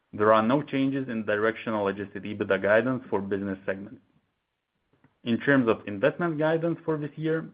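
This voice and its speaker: Over a narrow, phone-like conference line, a man with a fairly low voice delivers a scripted earnings presentation. He sounds like a company executive reading prepared remarks, formal and measured.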